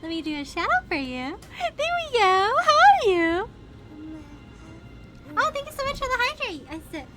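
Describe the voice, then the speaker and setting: Woman, game livestream host, very high voice, lively intonation, music behind. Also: moderate pace, pauses often. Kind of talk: casual game livestream commentary. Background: video game music.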